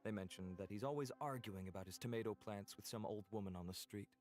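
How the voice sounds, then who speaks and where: An actor playing a dutiful guard in a video game, giving a formal, factual report. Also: male voice, lowish voice, quick pace, a little mellow, soft background music, natural voice.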